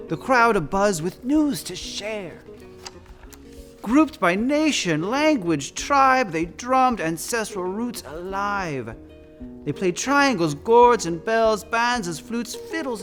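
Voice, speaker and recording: A man with a high voice performs as a drag queen storyteller. The delivery is theatrical and effusive, full of campy endearments like a fond stage host. Faint music plays along with the voice. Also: slow pace. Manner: effusive, campy, often calls listeners "darlings"; dramatic, expressive delivery. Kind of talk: theatrical read-aloud of picture books with chatty asides.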